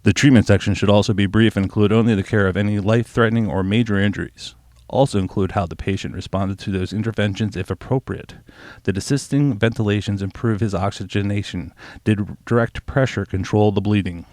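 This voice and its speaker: A man's voice: fairly low pitch, steady tone, a little fast, darkish tone, no room sound. A man reading scripted instructional narration for a training piece.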